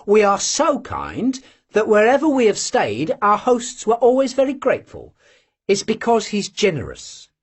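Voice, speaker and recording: A man voicing a cheerful, boastful young male servant who talks good-naturedly and proudly, in a husky voice. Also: high voice, wildly swooping pitch, measured pace, voice pushed up, heavily acted.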